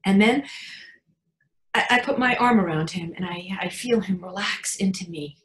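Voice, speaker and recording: A woman's voice: medium pitch, normal speed, fairly bright tone, echoey room, close to an everyday voice, rough recording. A woman playing a tender, flustered mother of a young son in a staged monologue reading. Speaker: actor in a readers theatre.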